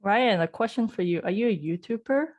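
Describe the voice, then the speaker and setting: A woman's voice: medium pitch, lively intonation, brisk, darkish tone. A woman from Hong Kong hosting an online group session over a video call, chatty and friendly. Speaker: host.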